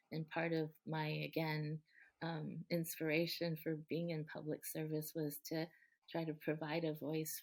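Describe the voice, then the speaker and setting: Woman, middle-aged, lowish pitch, earnest, chatty, ums and restarts, light laughs. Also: poor audio. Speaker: guest, local politician. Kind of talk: relaxed conversational interview.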